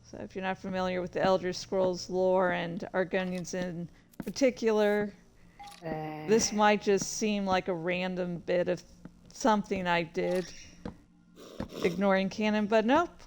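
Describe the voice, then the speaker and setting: A woman's voice: fairly low pitch, fairly even intonation, a little slow, a little mellow, a little hiss or hum. A woman chatting casually and hesitantly, thinking aloud as she gives meandering commentary during a game. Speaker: fan fiction author and streamer. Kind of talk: casual rambling commentary while playing a game.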